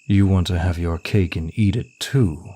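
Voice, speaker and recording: A man playing a suave, smug male demon of lust in an ASMR roleplay, with a teasing, deliberate, seductive delivery. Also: deep voice, dark tone, breathy edge. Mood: amused, smug.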